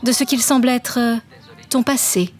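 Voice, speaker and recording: A woman's voice: a little high, normal speed, darkish tone, close to an everyday voice. A woman playing an articulate, judgmental, science-minded woman from a parallel universe in a French audio drama. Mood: matter-of-fact. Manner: explanatory, reproachful.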